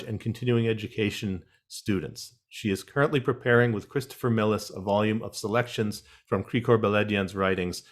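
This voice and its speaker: A man moderating an online panel, reading guest introductions in a measured way with wry asides over a video call. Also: on the low side.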